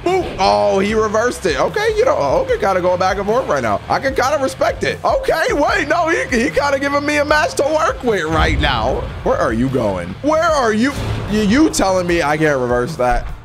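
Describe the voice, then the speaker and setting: Young male gaming host, high and very animated, excitable and trash-talking, voice going hoarse, headset mic, game music under him. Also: moderate pace. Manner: excitable trash talk, reads messages aloud in mocking voices. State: losing his voice. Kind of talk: live gameplay commentary. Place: home streaming room. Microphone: headset with studio mic.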